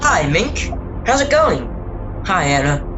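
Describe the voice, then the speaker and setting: A woman's voice: on the low side, big pitch swings, slow, music in the background. A woman playing an easygoing, teasing suburban husband and father, with a casual, folksy manner, in an amateur radio drama. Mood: cheerful, fatherly.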